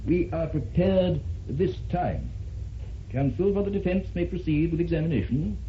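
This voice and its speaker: An actor playing a solemn, formal judge with a measured, authoritative manner in an old-time radio drama. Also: male voice, a little high, expressive, natural voice. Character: solemn, formal courtroom judge presiding over trial. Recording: muffled, noisy, echoey old radio recording.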